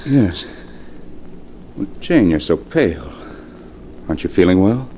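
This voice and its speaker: A devoted husband in a vintage radio ghost drama. His low voice moves in wide, theatrical sweeps, over a faintly noisy old recording. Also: very animated, a little slow, dark tone. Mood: distant, dazed. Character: devoted husband, enchanted by a haunted house. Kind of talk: 1950s radio drama acting.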